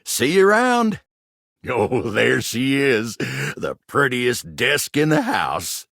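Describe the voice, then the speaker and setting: An actor voicing a shy, earnest, lovestruck cowboy type in a video game, with a gravelly Southern American drawl and a tender, halting manner. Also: male voice, mid-range voice, very animated, a bit bright, no echo, clean studio sound, exaggerated voice. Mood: warm, grateful. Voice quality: gravelly, husky. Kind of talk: video game character voice acting.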